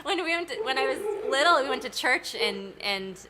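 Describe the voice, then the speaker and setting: A woman's voice: high voice, lively intonation, a little fast, background noise. A woman from Massachusetts, a singer-songwriter, chatting on a livestream video call in a bubbly, giggly way. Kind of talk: casual chat on a livestream.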